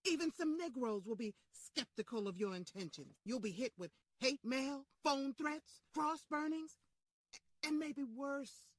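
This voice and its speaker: A worried woman friend in a radio history drama, with a fairly high, husky voice. She is blunt, urgent and pleading. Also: lively intonation, measured pace, no room sound. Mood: urgent warning. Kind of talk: old-time radio style dramatic acting.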